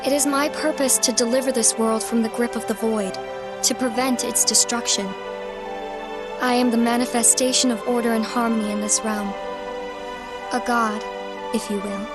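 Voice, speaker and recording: A woman performs a young goddess of order for a game cutscene. Her voice is fairly high and she speaks formally, with earnest authority, over prominent music. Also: steady tone, moderate pace, natural voice. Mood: stately, proud. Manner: formal, stately diction. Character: solemn young goddess of harmony, earnest and imperious. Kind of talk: video game cutscene acting.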